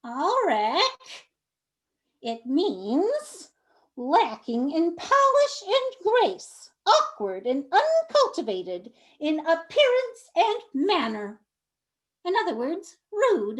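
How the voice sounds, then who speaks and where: A woman's voice: extremely high pitch, wildly swooping pitch, takes it slowly, exaggerated voice. A woman voicing a prim old grandmother who lectures and scolds. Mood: scolding.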